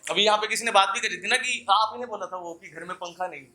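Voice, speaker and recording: A man's voice: high-pitched, fast, bright, forceful tone. A young man performing poetry live at an open mic, declaiming couplets with repeated lines and casual asides to the audience.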